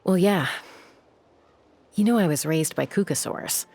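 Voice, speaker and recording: Young heroine, reflective, easygoing, gravelly edge, breathy, video game acting. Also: female voice, on the low side, a little slow, darkish tone. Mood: wistful. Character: cool, easygoing young woman raised by wild saurians.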